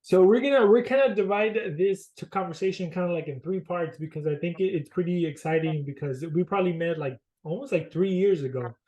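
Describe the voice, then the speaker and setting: A young man hosts a podcast in a friendly, loose manner, filling his questions with casual fillers. His voice sits a little high for a man, with a dark, mellow tone. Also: a little fast, few pauses, low-quality recording. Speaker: podcast host. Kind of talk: casual interview chat.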